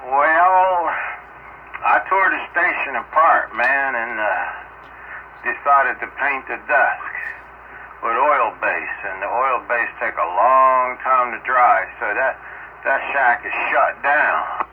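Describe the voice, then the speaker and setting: A man's voice: mid-range voice, slow. A man trading casual banter as an amateur radio operator. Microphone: radio transceiver microphone. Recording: static-filled narrowband radio transmission.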